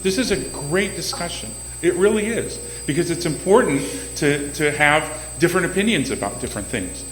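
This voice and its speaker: A man running for city council, answering audience questions at a community meeting in a loud, emphatic way and often repeating words as he starts a sentence. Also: on the high side, lively intonation, moderate pace, few pauses. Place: banquet room at a community meeting. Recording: echoey hall with faint hum.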